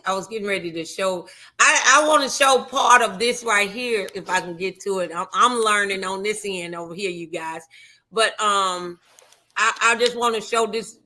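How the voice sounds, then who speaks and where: A woman's voice: mid-range voice, a little slow, sharp tone. A woman hosting a casual live talk show, chatting in a folksy, informal and affectionate way.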